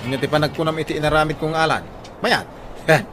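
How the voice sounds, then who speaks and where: An actor playing an easygoing, put-upon village husband in an Ilocano radio comedy drama, with an Ilocano accent and a defensive, conversational manner. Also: male voice, on the high side, quick pace, over music, lightly acted. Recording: noisy radio drama with ambience.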